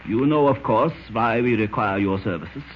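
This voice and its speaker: An actor playing a cold, fanatical German spymaster in a 1940s radio drama, with a German accent and a formal, deliberate, menacing manner. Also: male voice, lowish voice, natural voice. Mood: cold, intent. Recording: scratchy, muffled old-time radio recording.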